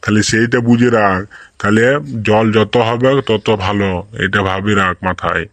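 A man's voice: fairly low pitch, steady tone, moderate pace, a bit bright, no echo, close to an everyday voice. A man playing a folksy village schoolteacher in a comic cartoon, lecturing in a rural western Bengali accent and often checking that he is understood.

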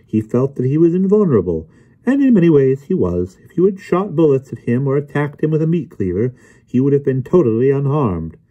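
Guy doing a smug know-it-all gent for a storybook, pitched up, swoopy and lecturing. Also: very animated, fairly quick, breathy edge, phone-quality sound. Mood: smug, explaining. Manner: arrogant, theatrical, lecturing. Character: smug, bouncy, know-it-all older gentleman explaining mysteries.

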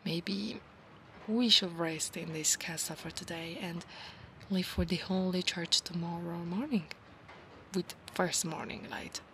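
A woman voicing a proud, obsessive female centaur knight in a soft, formal, earnest ASMR roleplay. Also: fairly low pitch, steady tone, measured pace, a little hiss or hum, low-quality recording. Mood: calm, matter-of-fact. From Italy. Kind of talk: ASMR monster roleplay, soft close acting.

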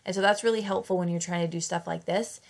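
Chatty woman with a cold, gravelly voice. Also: fairly low pitch, steady tone, fast. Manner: chatty, self-correcting, laughs at herself. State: fighting off a cold. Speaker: craft channel host. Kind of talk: casual craft tutorial voice-over.

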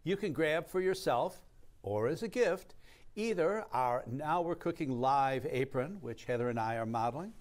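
A man's voice: a little high, lively intonation, moderate pace. A man in his sixties co-hosting a live TV pledge show, presenting upbeat and emphatic like an announcer. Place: TV studio kitchen.

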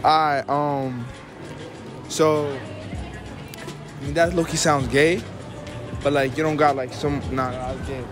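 Young male interviewer, slightly high voice. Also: steady tone, unhurried, pauses often, music in the background. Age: young adult. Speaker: street interviewer. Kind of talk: casual slangy street interview. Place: large indoor shopping mall. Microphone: phone held in hand as mic.

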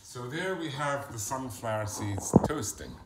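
A man's voice: medium pitch, expressive, a little slow, noisy background. A man playing a chatty middle-aged bloke with a mixed Yorkshire and Glaswegian accent, filming a cooking video in a home kitchen and rambling off into gossip and stories. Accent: Yorkshire with Glaswegian mix. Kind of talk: comic character monologue.

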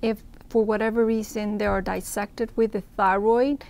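Creaky-voiced woman from Ecuador explaining. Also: medium pitch, little pitch movement, normal speed, a little mellow, dull audio. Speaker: interviewed surgeon. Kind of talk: explanatory medical interview. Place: indoor event room with banner backdrop.

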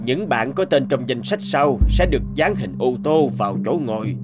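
A man voicing a stern, proud, mustached schoolteacher in an animated story, talking in a firm, lecturing way. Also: mid-range voice, normal speed, natural voice. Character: stern mustached male teacher, competitive, proud. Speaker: teacher character. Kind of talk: animated fairy-tale dubbing. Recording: music bed behind voice.

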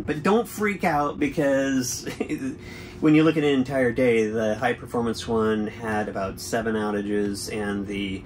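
A man's voice: medium pitch, a little hiss or hum. A man in his forties hosting a boating channel, chatting through a product review from a boat cabin with an easygoing, explanatory manner and frequent fillers.

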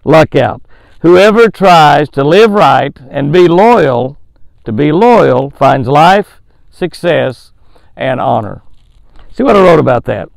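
Older man, Southern accent, gravelly voice, slightly high, folksy devotional and fishing talk, outdoors by a lake, dry close sound. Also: lively intonation, no room sound, poor audio. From American South. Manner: folksy, chuckling, drawn-out emphasis. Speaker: fishing show host. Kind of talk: devotional reading and fishing tip.

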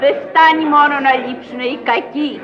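An actress playing an eccentric, commanding old countess in a vintage radio play. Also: female voice, high-pitched, steady tone, crowd noise, lightly acted. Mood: urgent, coaxing. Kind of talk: vintage Greek radio theatre acting. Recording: dull vintage radio recording, music behind.

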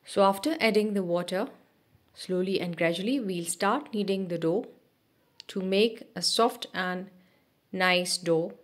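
A woman narrating a cooking video as a voice-over, giving steady step-by-step instructions with small restarts. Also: medium pitch, a little slow. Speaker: cooking channel host.

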